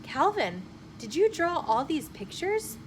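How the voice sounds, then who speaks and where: A woman voicing a brisk female schoolteacher in a children's storybook, calling out to her class. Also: high-pitched, very animated, a little hiss or hum, pitched above the performer's own voice. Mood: questioning. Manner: raised, announcing storybook delivery.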